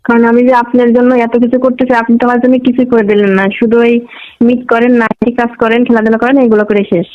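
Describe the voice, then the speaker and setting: A woman's voice: fairly high pitch, level tone, fast, soft background music. A woman with a Bangladeshi accent chats casually and intimately on a phone call.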